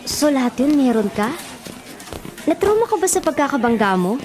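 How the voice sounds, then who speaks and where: A woman's voice: high-pitched, very animated, moderate pace. An actress playing a caring, teasing young woman who questions her friend in a Filipino radio drama. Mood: concerned, curious. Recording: radio drama under clear background music.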